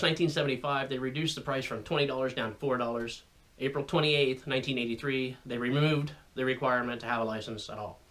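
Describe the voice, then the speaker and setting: A man's voice: medium pitch, fairly bright tone. An American man giving an informal explainer to viewers in a folksy, conversational way with rambling asides. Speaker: radio hobbyist video host.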